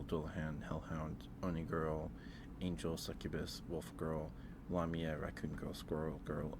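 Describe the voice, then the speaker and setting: A man's voice: extremely low pitch, vocal fry, noisy background. A man hosting a casual ASMR livestream, talking in a rambling, hesitant way and often repeating his phrases. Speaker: livestream host.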